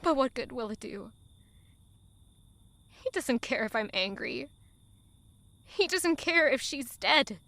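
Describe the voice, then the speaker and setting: A woman's voice: high voice, lively intonation, takes it slowly, pauses often, pushed and bright, no echo, exaggerated voice. An actress playing a haunted, bitter young woman who narrates her own story in an audio drama with dramatic intensity. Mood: bitter, seething.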